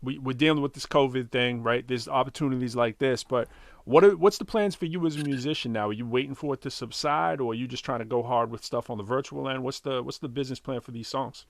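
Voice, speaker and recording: A man co-hosting a casual livestream talk show, emphatic and quick, from the DMV area. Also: medium pitch, creaky, dry recording. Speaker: show co-host.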